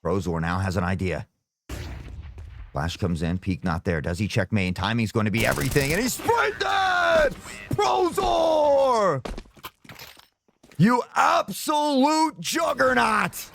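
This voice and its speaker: A male esports caster with a slightly sore throat, calling play-by-play and erupting into loud shouts on big plays. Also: sits high, sing-song, slow pace, creaky, slight background noise.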